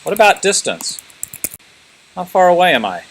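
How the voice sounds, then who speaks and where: A man's voice: high voice, big pitch swings, normal speed, fairly bright tone, poor audio. A man teaching a class informally, thinking aloud and prodding students with questions.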